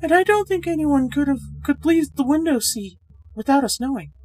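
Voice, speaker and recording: A woman's voice: sits high, expressive, moderate pace, darkish tone, pitched above the performer's own voice, rough recording. A woman voicing a friendly, chatty young woman who is uneasy but bold, in a ghost story. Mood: shaken. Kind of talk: ghost story character acting.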